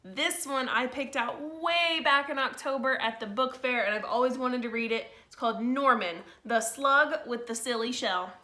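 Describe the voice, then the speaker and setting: A woman teacher reading a picture book to children in a slow, expressive way, with informal asides to her pupils. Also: on the high side. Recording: roomy, echoey sound.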